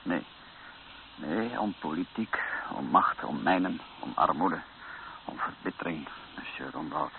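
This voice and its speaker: An actor playing a grave, resigned missionary priest in a radio drama, speaking in a sombre, measured way. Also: male voice, a bass voice, animated, creaky, exaggerated voice. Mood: bitter, weary. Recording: muffled, noisy old radio recording.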